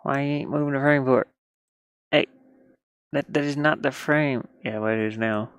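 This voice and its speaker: A tired man thinking aloud casually as he works on a game, muttering to himself and grumbling at the software into a headset mic. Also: mid-range voice, moderate pace, clean tone, no echo, highs cut off. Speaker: indie game developer working on camera. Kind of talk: casual thinking-aloud screen-work stream.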